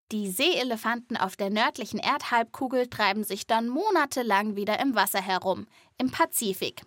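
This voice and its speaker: A woman's voice: on the high side, a bit bright, no echo. A woman hosting a children's podcast, telling stories as scripted narration and addressing young listeners directly, recorded in a studio.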